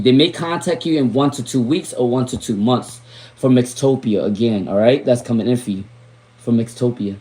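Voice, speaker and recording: A man with a raspy, worn voice, chatting casually while giving live tarot readings and drifting into asides. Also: mid-range voice, darkish tone. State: voice worn from talking all day. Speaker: tarot reader.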